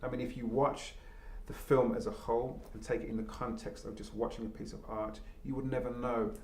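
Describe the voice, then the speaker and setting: A man with an English accent giving measured interview answers. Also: mid-range voice, flat delivery. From Birmingham, England. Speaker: actor being interviewed. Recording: roomy echo, faint background noise.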